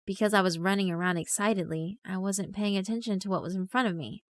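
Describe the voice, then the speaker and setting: A woman's voice: mid-range voice, fast talker. A woman delivering dramatic scripted narration as the narrator of a horror visual novel story. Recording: prominent music behind. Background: background music.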